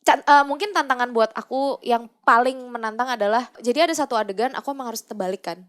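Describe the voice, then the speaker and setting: A woman's voice: a little high, quick pace. A young woman chatting as an actress guest on a podcast, mixing English phrases into her casual talk.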